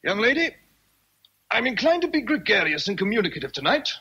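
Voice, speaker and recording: An actor playing an imperious, teasing English gentleman with commanding, formal speech in a radio drama. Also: high voice, sing-song, brisk, breathy edge, heavily acted. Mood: wry, expansive. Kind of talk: vintage radio drama acting.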